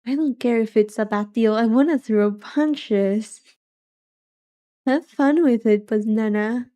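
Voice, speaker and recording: A soft-voiced woman livestreamer chatting casually in a relaxed, wry way as she reacts and muses aloud. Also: a little high, takes it slowly. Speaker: livestreamer, vtuber.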